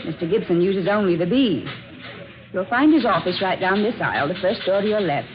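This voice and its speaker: A woman's voice: mid-range voice, expressive, close to an everyday voice. Woman acting prim receptionist, noisy old radio. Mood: prim, polite. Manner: formal, measured politeness. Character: prim, courteous, old-fashioned female office receptionist. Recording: dull, hissy vintage radio recording.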